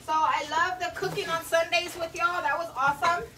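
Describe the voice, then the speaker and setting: High-voiced chatty woman. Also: fairly even intonation, brisk, far from the mic, noisy background. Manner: chatty, greets viewers by name, laughs often. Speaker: vlog host cooking live. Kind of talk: casual live-stream chat. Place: home kitchen, indoors. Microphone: phone camera a few steps away.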